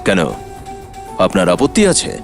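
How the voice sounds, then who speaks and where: An actor playing a shrewd, confident detective in a cartoon mystery, explaining things in a sly, theatrical way. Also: male voice, deep voice, huge pitch swings, a little slow, music in the background, exaggerated voice. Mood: sly, needling. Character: shrewd, confident male detective revealing the culprit. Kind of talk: animated detective story acting.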